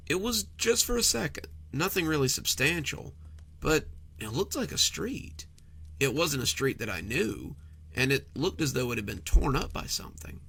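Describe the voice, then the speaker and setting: A man narrates a first-person horror tale as if recounting it himself. His mid-pitched voice moves in animated swings, with a bright, forward edge and a noticeable gravelly creak. The delivery is conversational but clearly scripted.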